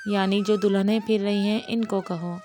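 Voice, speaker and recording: A woman's voice: mid-range voice, steady tone, normal speed, warm tone, faint music behind, close to an everyday voice. A woman voicing a simple-minded, stubborn sister in an audio story, cheeky and taunting. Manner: cheeky, sing-song taunting.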